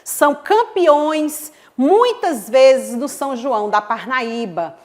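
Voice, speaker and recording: A woman from Brazil, a history teacher giving a televised school lesson in an expressive, emphatic way. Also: high voice, takes it slowly, faint music behind. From Northeast Brazil.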